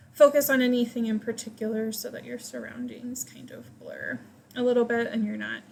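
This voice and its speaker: A woman leading a guided meditation, giving gentle, invitational instructions in short phrases with pauses between them. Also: fairly high pitch, vocal fry, a little hiss or hum.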